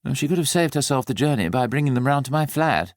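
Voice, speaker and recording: A man's voice: mid-range voice, expressive, quick pace, no echo, voice pushed up, natural voice. Man voicing a jaded, sardonic posh Englishman of thirty. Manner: dry, world-weary. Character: jaded thirty-year-old upper-class Englishman Patrick, sardonic, evasive, melancholy. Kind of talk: audiobook character dialogue.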